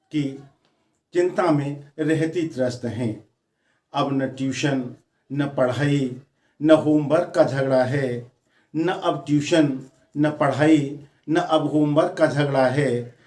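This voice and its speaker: A man's voice: mid-range voice, mellow. A man reciting his own poetry in a declamatory manner, repeating lines for emphasis and addressing listeners in spoken asides. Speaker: poet reciting own poem. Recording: roomy, echoing sound.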